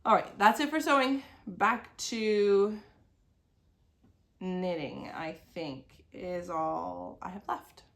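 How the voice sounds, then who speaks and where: A woman's voice: fairly low pitch, slow pace, creaky, fairly bright tone. A woman in her thirties, sniffly from a cold, hosting a crafting video podcast from her sewing desk at home. She chats casually and meanders, with lots of "like" fillers. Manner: meandering, talks around things with many "like" fillers. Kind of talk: casual chat.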